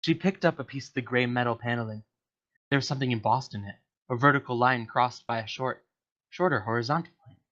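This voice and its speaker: A man's voice: mid-range voice, a little fast, a bit bright. A man reading a story aloud in an amateur dramatic style, now and then stumbling over words and correcting himself. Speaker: reader of a comedic story to friends in a voice channel. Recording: dull lo-fi voice chat audio.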